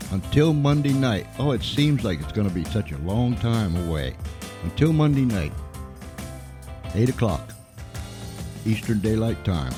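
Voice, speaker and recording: A man hosting an informal live radio show, speaking to listeners in a folksy, effusive way and repeating phrases for emphasis. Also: lowish voice. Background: music.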